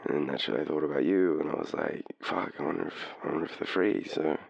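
A man's voice: very deep voice, no echo, highs cut off, exaggerated voice. An Australian man playing a tipsy, shy, lovestruck young guy phoning his crush in an intimate audio roleplay, hesitant and rambling. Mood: nervous, tipsy. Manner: hesitant, rambling, halting, breathy chuckles. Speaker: voice actor in boyfriend roleplay. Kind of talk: intimate audio roleplay, one-sided phone call.

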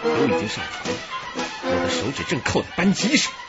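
A man's voice: medium pitch, huge pitch swings, brisk. An actor playing an agitated, intense man in a police radio drama, with a theatrical, emphatic delivery. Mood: menacing, defiant. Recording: muffled radio drama with music underneath.

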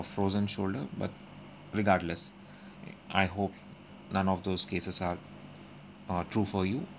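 Calm low-voiced man explaining a workout. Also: a little hiss or hum. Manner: calm explanatory, with frequent "uh" pauses. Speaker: fitness vlogger. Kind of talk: instructional workout voice-over. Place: indoor gym.

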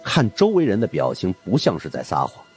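Deep-voiced animated male storyteller. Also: a little fast, soft background music. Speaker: audiobook narrator. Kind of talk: scripted narration of a supernatural mystery novel.

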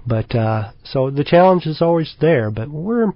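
A middle-aged man from Kentucky talks through his answers in a relaxed, thoughtful way, with plenty of fillers along the way. The recording is dry and slightly dull, like a small radio studio. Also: a little high, a little slow, no room sound. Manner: easygoing, thoughtful, lots of fillers. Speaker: wildlife biologist guest. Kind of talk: radio interview.

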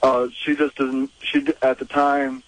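A man in his twenties calling a radio show on a cell phone, hesitant and halting, with many pauses and uhs. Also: medium pitch, flat delivery, poor audio. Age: 20s. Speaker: caller.

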